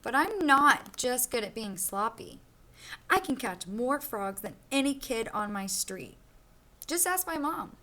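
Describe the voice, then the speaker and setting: A woman's voice: fairly high pitch, sing-song, measured pace, pushed and bright, heavily acted. A woman voicing a sloppy, cheerful young boy who narrates his own storybook, read expressively. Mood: boastful.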